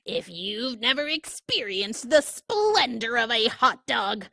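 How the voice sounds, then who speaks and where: A woman voicing an excitable, possessive male pigeon from a storybook, with loud, whiny exclamations. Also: fairly high pitch, slow pace, creaky, dry recording, exaggerated voice. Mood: grandiose.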